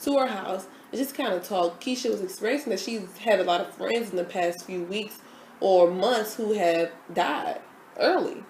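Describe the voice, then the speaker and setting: A woman with an average-pitched voice dishes emphatic, opinionated gossip about TV shows in a casual chat. The room is echoey, with faint background noise. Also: moderate pace, a little mellow. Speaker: co-host.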